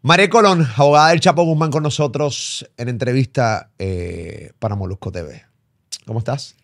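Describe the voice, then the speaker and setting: A man's voice: medium pitch, moderate pace, gravelly. A Puerto Rican man in his forties hosts a studio interview, chatty and teasing, tossing in fillers as he goes. His voice sits in a plain middle register, recorded close on a studio mic. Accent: Puerto Rican Spanish. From Puerto Rico. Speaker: podcast host. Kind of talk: casual interview. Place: dark studio set. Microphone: boom-mounted studio mic.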